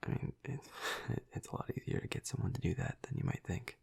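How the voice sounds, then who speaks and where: A man playing a flirty, protective vampire of high rank in an intimate audio roleplay, speaking softly and hesitantly in a breathy, gravelly half-whisper. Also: close to an everyday voice. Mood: gentle, explaining. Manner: slow, hesitant, soft, soothing. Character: flirty, protective male vampire, high-ranking in his clan.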